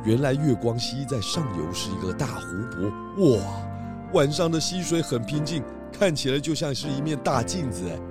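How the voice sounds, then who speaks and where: A calm pig detective who speaks patiently and kindly, voiced by a performer. Mid-range voice, with music running underneath. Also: medium pitch, normal speed, natural voice. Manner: warm, patient, reassuring. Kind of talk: children's radio drama. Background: background music.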